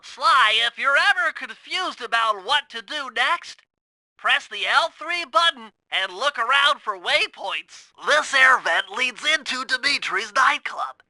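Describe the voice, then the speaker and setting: A man's voice: extremely high pitch, animated, a little slow, sharp tone, exaggerated voice, low-quality recording. A man voicing a nerdy, anxious, fussy turtle gadget expert in a cartoon video game, explaining things precisely. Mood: eager, precise.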